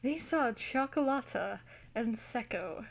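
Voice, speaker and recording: A woman giving an amateur, theatrical and giggly dramatic reading of fan fiction, recorded in low quality on a phone. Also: animated, takes it slowly, creaky, rough recording. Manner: giggly, theatrical, easily cracking up.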